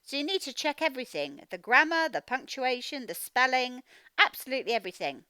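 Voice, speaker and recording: A woman teacher giving a children's read-aloud phonics lesson, speaking in an animated, emphatic, instructional way and sounding out words. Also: sits high, brisk, clean tone, dry recording. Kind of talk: children's read-aloud lesson, explaining directly to young learners.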